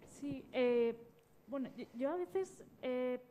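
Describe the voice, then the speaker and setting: Mellow, higher-voiced woman. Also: slow, slight background noise. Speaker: podcast director, guest panelist. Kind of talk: conversational panel discussion.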